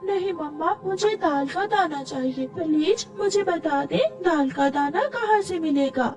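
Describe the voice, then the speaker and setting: A woman voicing an eager, friendly little male bird child in a cartoon story, pleading and repeating words excitedly. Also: very high-pitched, measured pace, voice pushed up. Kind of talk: Hindi cartoon story acting. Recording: distant mic with music behind.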